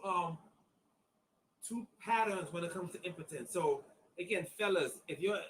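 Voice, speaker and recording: A man's voice: high-pitched, a little hiss or hum, rough recording. A man of fifty, an acupuncturist, giving a casual online demonstration in a chatty, repetitive way and calling viewers y'all. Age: 50s. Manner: chatty, repeats himself, addresses viewers as y'all.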